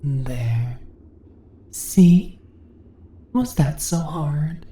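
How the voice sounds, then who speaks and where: A woman acts out a predatory female spider monster in a fantasy ASMR roleplay. She speaks extremely slowly in a low, breathy voice with some creak, slipping into whispers. Her manner is seductive, mocking and in control, heard in a slightly echoing space. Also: animated, dark tone, heavily acted. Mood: mocking, commanding. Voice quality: breathy, often dropping into whispers. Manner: slow, teasing, condescending, drawn-out questions. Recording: roomy echo, faint background noise.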